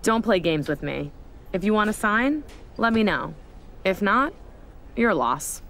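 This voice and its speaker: A woman's voice: medium pitch, sing-song, a little slow, fairly bright tone, slight background noise. A woman voicing a blunt, pushy recruiter in a video game. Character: demanding female wrestling recruiter.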